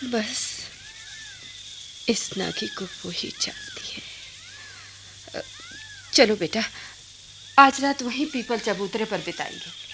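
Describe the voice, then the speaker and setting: High-voiced, careworn village mother. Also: wildly swooping pitch, slow, pauses often, noisy background, heavily acted. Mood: weary, wary. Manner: deferential, hesitant, plaintive. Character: humble, proud, careworn village mother of grown sons. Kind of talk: Hindi radio play acting.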